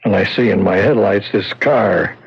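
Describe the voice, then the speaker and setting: An actor playing a weak, wounded plumber in a radio drama, a folksy, evasive husband with a gravelly voice and halting speech. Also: male voice, on the low side, exaggerated voice. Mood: folksy recounting. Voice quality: gravelly, husky. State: weak, recovering from injury. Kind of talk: 1970s radio drama acting. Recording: muffled old radio broadcast.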